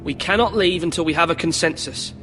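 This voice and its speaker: A man's voice: fairly high pitch, fairly quick, pushed and bright. A young man playing an earnest, untested young lord giving commands in a dramatic video game. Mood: measured, resolute. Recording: long hall reverb, faint music.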